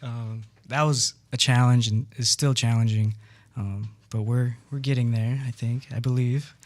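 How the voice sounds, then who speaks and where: A man acting in a community theatre show, speaking in a relaxed, hesitant way with many ums in a radio interview. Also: medium pitch, normal speed.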